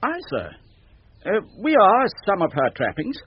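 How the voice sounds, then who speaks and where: Sly Shakespearean clown, high-pitched and very swoopy, teasing wordplay for radio drama. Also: male voice, wildly swooping pitch, measured pace, breathy edge, heavily acted. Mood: playful, wheedling. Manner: teasing, wordy, lilting wordplay. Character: sly, witty clown, a great lady's household fool. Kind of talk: 1970s BBC radio Shakespeare acting. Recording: muffled 1970s radio recording.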